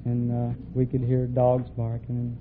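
Young male sailor contestant, mid-range voice, plain halting answers, thin vintage radio with faint noise. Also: mid-range voice, completely flat delivery, narrow, tinny audio. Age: young adult. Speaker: navy frogman, quiz contestant. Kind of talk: plain, hesitant interview answers. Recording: thin, faintly noisy old radio recording.

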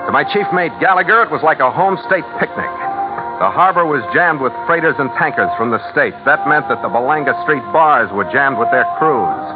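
A man's voice: a little high, lively intonation, fairly quick, lightly acted. An American actor playing a wry, hard-boiled sea captain who narrates his log in a clipped 1940s radio style. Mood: wry, storytelling. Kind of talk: 1940s radio drama acting, scripted narration. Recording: phone-like old radio recording, loud music behind.

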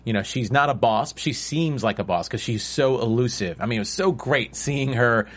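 A man's voice: mid-range voice, normal speed. A man co-hosting a casual panel chat, enthusiastic and prone to repeating himself. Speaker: co-host.

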